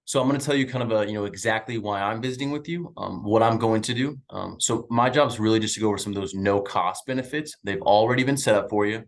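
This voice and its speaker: A young man in his twenties works a sales call, rattling along at a quick clip with lots of um and uh. His voice is fairly low, his manner upbeat and chatty. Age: 20s. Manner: upbeat, chatty, filler-heavy. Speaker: insurance sales agent. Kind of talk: sales call small talk. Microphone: video call.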